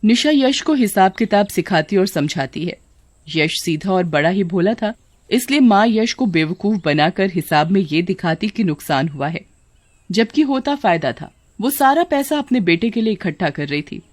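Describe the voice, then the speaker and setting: A woman's voice: mid-range voice, lively intonation, normal speed, no echo. A woman giving scripted narration for a children's cartoon story.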